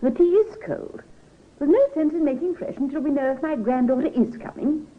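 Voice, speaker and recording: An actress playing a fussy, imperious elderly mistress of the house in a vintage radio drama. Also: female voice, sits high, a little fast, lightly acted. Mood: impatient, fretful. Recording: muffled old radio recording.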